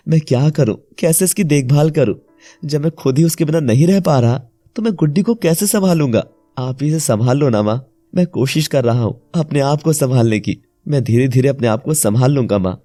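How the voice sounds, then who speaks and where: Guy doing young husband in moral story, mellow voice, heartfelt and pleading, faint music. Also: mid-range voice, moderate pace, lightly acted. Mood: grief, helpless. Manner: earnest, pleading. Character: young husband and father, devoted, emotional, desperate. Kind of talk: dramatised Hindi moral story acting.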